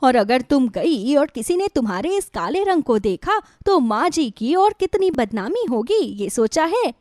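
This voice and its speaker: A woman plays a cruel young elder sister-in-law from a moral storybook, high-pitched and swooping. She talks down in a bossy, scornful, taunting way, in a close, dry sound. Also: very animated, unhurried, darkish tone, no echo. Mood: haughty, commanding. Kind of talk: dramatized Hindi moral storybook.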